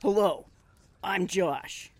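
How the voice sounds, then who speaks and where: Meek, slow-talking young henchman. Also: mid-range voice, slightly rough. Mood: nervous, meek. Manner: hesitant, meek, awkward. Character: timid, hesitant, polite young male henchman. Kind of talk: audio drama acting.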